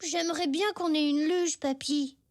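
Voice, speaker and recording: An actor voicing an excitable little zebra child in a French preschool cartoon, with an eager, exclamatory way of talking. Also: high-pitched, normal speed, no room sound, clean studio sound, lightly acted.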